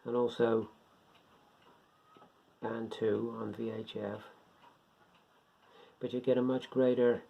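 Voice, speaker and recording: A man giving an informal, unscripted beginners' explainer in a rambling, step-by-step way, repeating himself, with a gravelly, husky voice. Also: medium pitch, flat delivery, slow, pauses often. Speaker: hobbyist presenter of a beginners' guide. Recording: dull lo-fi home recording.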